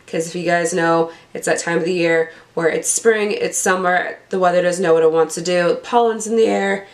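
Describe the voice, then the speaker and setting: A young woman with a hoarse, cold-roughened voice, pitched a little low. She rambles chattily as a beauty vlogger, trips over product names and talks straight to her viewers. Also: fairly even intonation, moderate pace. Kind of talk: casual unboxing chat.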